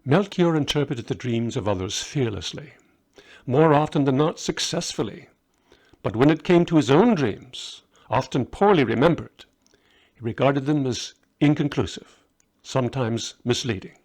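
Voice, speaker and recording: A man's voice: mid-range voice, expressive, normal speed, darkish tone. Man narrating a story. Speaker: author reading own story. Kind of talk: scripted story narration, audiobook reading.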